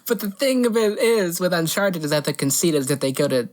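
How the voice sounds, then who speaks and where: A woman's voice: fairly low pitch, animated, brisk, a little mellow. A woman co-hosting a podcast, chatting casually about movie news in a playful, teasing way. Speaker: podcast co-host.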